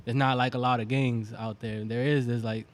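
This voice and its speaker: A man's voice: medium pitch, moderate pace. Laid-back young man, rambling casually. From Boston, USA (born in Venezuela). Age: 20s. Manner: relaxed, rambling, many fillers. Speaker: guest, clothing brand founder. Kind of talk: casual interview chat.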